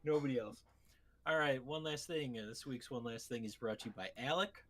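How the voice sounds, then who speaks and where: A man's voice: mid-range voice, a little fast. A man hosting a casual podcast, chatting in a wry, bantering way. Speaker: podcast host.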